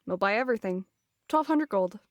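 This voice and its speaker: A woman's voice: medium pitch, lively intonation, no room sound, soft background music, lightly acted. A woman playing a brash, swaggering female adventurer who drives a hard bargain in a fantasy audio roleplay. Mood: shrewd, firm. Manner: bold, brisk, swaggering.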